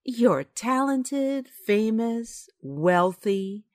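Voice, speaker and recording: An actress playing a frank, kindly and loyal playwright's wife in a radio drama, with an earnest, emphatic delivery. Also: female voice, fairly high pitch, expressive, drawn-out words, dry recording, studio quality. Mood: exasperated, pleading. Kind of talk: radio drama acting and narration.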